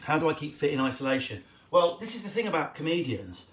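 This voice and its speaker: Cheeky fast-talking Essex man. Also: a little high, sing-song. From England. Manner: quick, cheeky, jumps between loud bursts and muttered asides. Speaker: comedian hosting a live Q&A stream. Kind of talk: casual improvised stand-up chat to camera. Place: at home, indoors.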